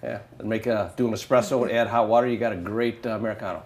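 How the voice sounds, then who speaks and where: A man's voice: on the low side, rapid-fire, darkish tone. A man co-hosting a live stream as the coffee expert, explaining brewing steps in a casual, practical way.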